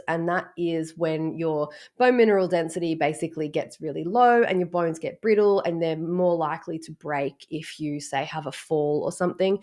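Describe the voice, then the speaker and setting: Croaky-voiced woman coach, slightly low. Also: darkish tone. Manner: chatty, explains step by step with many fillers. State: croaky from a cold. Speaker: strength coach. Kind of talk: casual instructional talk to camera.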